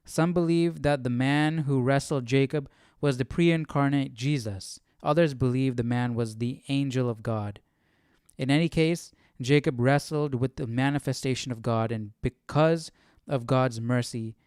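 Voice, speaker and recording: A man's voice: mid-range voice, measured pace, a little mellow, clean tone, dry recording, clean studio sound. A man hosting a Bible study livestream in casual chat, questioning and thinking aloud informally. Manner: informal, questioning, muttering to himself.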